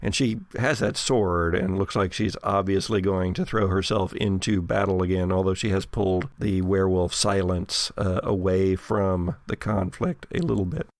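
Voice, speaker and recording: A man narrating an improvised tabletop horror game as game master, with deliberate, hesitant phrasing. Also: deep voice, dry recording, clean studio sound.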